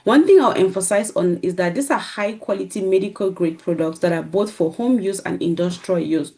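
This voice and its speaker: A woman hosting a business workshop, giving a persuasive sales pitch and often checking in with "right?". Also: a little fast, darkish tone. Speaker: business coach and workshop host.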